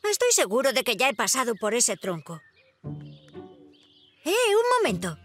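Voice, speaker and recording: A woman's voice: on the high side, huge pitch swings, moderate pace. A woman voicing a tidy, polite, earnest young boy in a cartoon dub. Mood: puzzled. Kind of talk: animated cartoon dubbing. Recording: loud music behind the voice.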